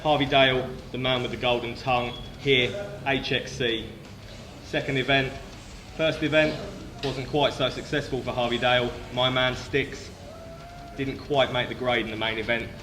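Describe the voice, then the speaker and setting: A man's voice: medium pitch, flat delivery, normal speed. Boastful, theatrical British man. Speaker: wrestling commentator being interviewed. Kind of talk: showman promo.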